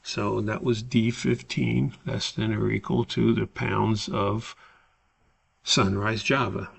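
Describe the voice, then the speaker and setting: Guy doing a screen tutorial, really slow and halting, creaky gravelly voice, goes step by step. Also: on the low side, little pitch movement. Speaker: instructor. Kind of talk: screen-recorded spreadsheet tutorial, unscripted explanation.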